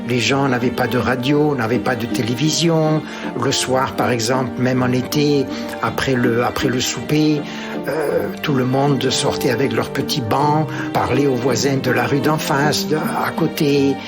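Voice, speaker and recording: A man's voice: mid-range voice, steady tone, unhurried, over loud music. Older man with a Pied-Noir accent. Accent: Pied-Noir French. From Algeria. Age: 70s. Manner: hesitant, many fillers and restarts. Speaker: interviewee. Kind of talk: personal reminiscence.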